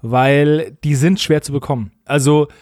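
A man's voice: on the high side, measured pace, darkish tone, dry recording, studio quality. A man chatting casually as a podcast co-host, with a wry, colloquial manner. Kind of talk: casual review chat.

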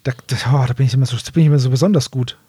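A man's voice: medium pitch, steady tone, very fast, dark tone, husky, no echo, studio quality. A man, a podcast co-host, explaining fantasy lore in casual chat.

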